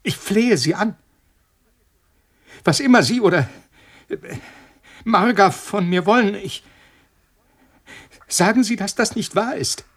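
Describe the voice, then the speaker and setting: A man's voice: high-pitched, takes it slowly, long pauses, full character voice. An actor playing an arrogant male scientist under threat in a radio play, agitated and prone to stammering and repeating himself. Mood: horrified, pleading. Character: arrogant male scientist reduced to frantic, pleading terror.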